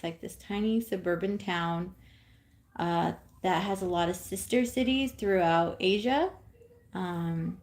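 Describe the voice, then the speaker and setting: A woman in her thirties from California talks on a casual home live stream. She unhurriedly rambles from topic to topic, knitting as she goes, her speech full of ums, restarts and little laughs, at a middling pitch. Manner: knits while talking.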